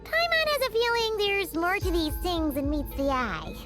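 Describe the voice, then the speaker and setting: A woman's voice: very high voice, big pitch swings, moderate pace, loud music behind the voice. A woman voicing a small, cute, childlike fairy companion in a video game, chirpy and curious. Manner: exclaims, refers to herself in third person. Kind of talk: video game character dialogue.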